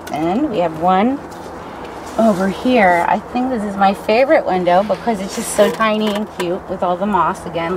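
A middle-aged woman talks casually and rambles a little, with lots of ums and likes, as she walks through a hut in the woods. She is recorded outdoors by someone holding the camera. A steady hiss of background noise stays under her voice the whole time. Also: lowish voice. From New Jersey. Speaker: bushcraft builder giving a tour. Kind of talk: casual show-and-tell. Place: outdoors in woods, inside and beside a stick hut. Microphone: camera held by another person.

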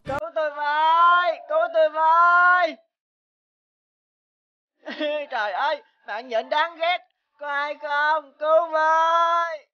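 A frightened little cartoon mosquito, wails for help. The voice is high and slow, and the sound is dull and lo-fi. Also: female voice, steady tone, bright, forceful tone, dry recording, exaggerated voice. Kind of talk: cartoon voice acting.

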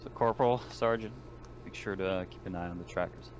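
Commanding man with a deep voice. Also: brisk. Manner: commanding, clipped orders. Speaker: player acting as squad lieutenant. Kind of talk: in-character tabletop roleplay. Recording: noisy online voice chat recording.

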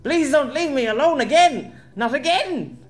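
A man's voice: very high voice, animated, normal speed, faint music behind, voice pushed up. A man playing a loyal young swordsman who pleads urgently with a fallen friend. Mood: frantic. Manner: pleading, frantic.

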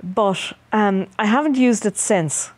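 A middle-aged Irish woman poet, reading her work and answering interview questions in a measured, hesitant way with many ums, recorded on a studio set with a lapel mic. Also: mid-range voice, no echo. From Ireland. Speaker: poet guest.

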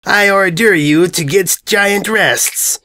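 A man voicing a brash, dim armored invader who shouts bombastically and mangles his words in a fantasy story. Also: sits high, slow, pitched above the performer's own voice, full character voice. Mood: brash, demanding.

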